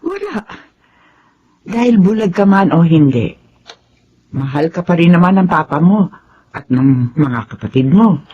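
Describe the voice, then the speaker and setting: An actress playing a caring, reassuring older aunt in a radio drama, speaking in a motherly, soothing, expressive way. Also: fairly low pitch, unhurried, long pauses, husky, highs cut off, full character voice. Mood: tender, reassuring. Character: caring, reassuring older aunt raising her late sister's children.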